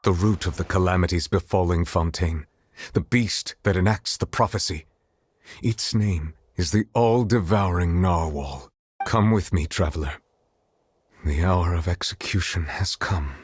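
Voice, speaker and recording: Deep-voiced, solemn chief judge. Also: male voice, a little slow, a little hiss or hum. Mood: grave, resolute. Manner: formal, grave, commanding. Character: solemn, regal male chief judge of godlike power. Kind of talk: dramatic video game voice acting. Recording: loud background music.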